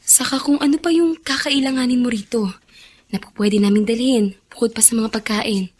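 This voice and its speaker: A woman's voice: on the high side, steady tone, normal speed, a little mellow, breathy edge. An actress playing a kind, guilt-ridden young woman in a radio drama. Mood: gentle, concerned. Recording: music bed behind the voice.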